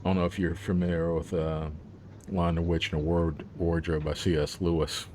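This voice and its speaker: A man's voice: low voice, little pitch movement, moderate pace, darkish tone, slight background noise. A man, an author, talking about his book in a casual interview, rambling with plenty of fillers and laughs.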